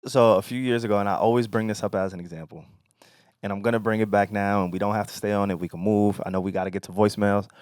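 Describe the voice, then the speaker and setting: A man's voice: lowish voice, steady tone, fast, darkish tone, clean tone, no room sound, studio quality. A man co-hosting a podcast in casual conversation, with slangy, emphatic, swearing speech. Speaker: podcast co-host.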